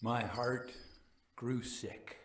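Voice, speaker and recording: A man playing a proud, vengeful aging murderer recounting his crime in a theatrical, deliberate gothic storytelling style. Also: medium pitch, fairly even intonation, roomy sound, slight background noise. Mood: grim, grave. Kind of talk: dramatic reading of gothic tale.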